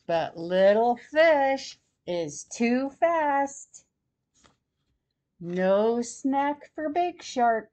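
A woman in her sixties reading a children's storybook aloud in a deliberate, expressive way for young listeners. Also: fairly high pitch. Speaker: grandmother host reading picture books to children. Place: small plain room at home, seated in armchair.

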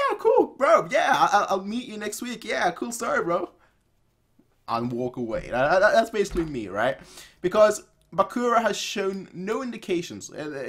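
Animated young man reacting casually. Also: on the high side. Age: young adult. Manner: animated, repeats phrases for emphasis. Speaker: reaction video host. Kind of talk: casual off-the-cuff reaction commentary. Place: small room indoors.

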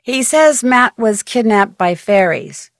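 A young woman with an American accent playing a chatty, curious, level-headed amateur detective in a video game, speaking conversationally with clear diction. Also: fairly high pitch, lively intonation, slow, dry recording, studio quality. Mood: chatty, explaining. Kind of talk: video game voice acting, conversational phone-call dialogue.

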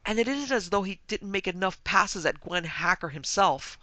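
Guy doing a weepy outraged wife from a story, pitched up, all worked up and tense. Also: normal speed, bright, forceful tone, no echo. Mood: tearful indignation. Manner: weeping, breathless, agitated. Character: sobbing, indignant married woman, tipsy party guest.

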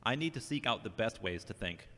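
Earnest devout younger brother, acted by a man, low voice, self-questioning, audio drama, echoing sound. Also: expressive, brisk, bright, forceful tone. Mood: earnest, reasoning. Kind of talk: amateur audio drama acting. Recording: reverberant hall-like sound.

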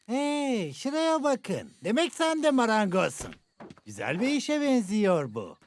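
A woman's voice: on the high side, big pitch swings, a little slow, slight background noise. A woman voicing a friendly, helpful carpenter in a children's cartoon dub, with a welcoming, encouraging manner. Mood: curious, helpful.

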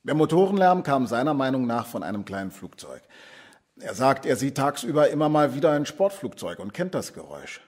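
A man's voice: mid-range voice, sing-song, fairly quick, breathy edge. An actor playing an earnest police officer reporting to his superior in a crime radio drama. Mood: matter-of-fact.

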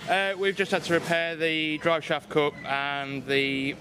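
A man's voice: fairly high pitch, a little slow. A man in his thirties, a race mechanic, answering casually into a handheld microphone under a busy awning. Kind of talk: casual interview chat. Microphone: handheld interview microphone. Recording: clear paddock background noise.

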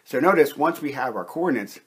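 A man with a mid-pitched, husky voice works through a technical lesson at a whiteboard. He explains each step methodically, in the measured manner of an instructor. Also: animated. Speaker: chemistry instructor.

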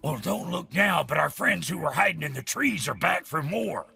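An actor playing a cranky, boastful blacksmith in a fantasy video game, foul-mouthed and folksy with rough, ungrammatical speech and a gravelly voice. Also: male voice, fairly high pitch, animated, moderate pace, pushed and bright, slight background noise, exaggerated voice. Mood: brash. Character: crude, cranky, boastful fantasy blacksmith, small-time trader. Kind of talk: comic video game character acting.

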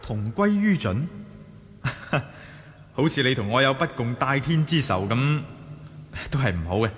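An actor with a Hong Kong Cantonese accent playing a gentle, devoted young male swordsman in a 1980s radio drama. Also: medium pitch, very animated, a little slow, slightly rough, heavily acted. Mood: wistful. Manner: tender inner monologue, anxious bursts in the duel. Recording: 1980 radio drama with loud music bed.

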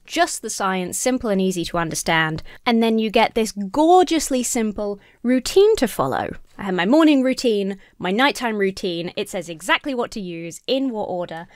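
A young woman talks into a close desk mic with a slight croak left over from a cold. Her delivery is sarcastic and animated, with quick asides. The sound is dry and close, with no room echo. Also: medium pitch. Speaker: commentary YouTuber. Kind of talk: casual commentary with sponsor read. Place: small home room, seated in gaming chair. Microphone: studio mic on stand near face.

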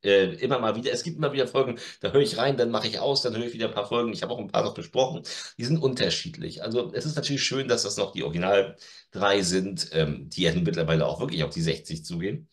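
A man's voice: lowish voice, fast talker. A middle-aged man, chatting casually and bluntly as he reviews audio dramas. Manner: opinionated, blunt. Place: home room with media shelves. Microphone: video call.

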